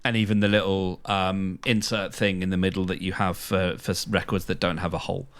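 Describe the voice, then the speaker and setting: A British man with a fairly low voice chats as a podcast co-host. His delivery is informal and hesitant, often repeating words and letting thoughts trail off before picking them up again. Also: flat delivery, fairly quick, smooth. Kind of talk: casual chat.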